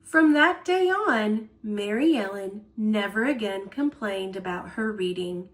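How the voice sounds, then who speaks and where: A woman storyteller reading a children's picture book aloud in an expressive style. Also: mid-range voice, a little slow, rough recording.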